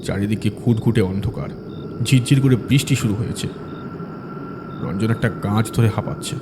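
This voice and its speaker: A man narrating a village ghost story in a measured, dramatic manner. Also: lowish voice, background noise. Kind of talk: scripted horror audio story narration.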